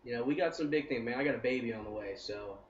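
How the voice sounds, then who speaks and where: A man's voice: mid-range voice, fast talker, noisy background. A man in his early twenties, a company co-founder, talking in a rambling, upbeat way in a casual interview, with many "I mean" fillers. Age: 20s. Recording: roomy, distant and dull sound.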